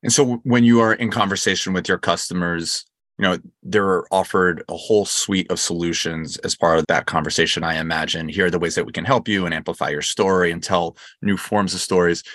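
A man's voice: low-pitched, steady tone, a little fast, a bit bright, dry recording, studio quality. A man hosting an interview podcast, speaking in a polite, appreciative way as he introduces and questions his guest. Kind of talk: scripted introduction, then conversational interviewing.

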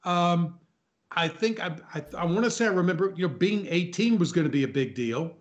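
A man's voice: high voice, lively intonation, brisk, dull audio. A man in his sixties reminiscing casually as a talk show guest, often repeating phrases for emphasis. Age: 60s.

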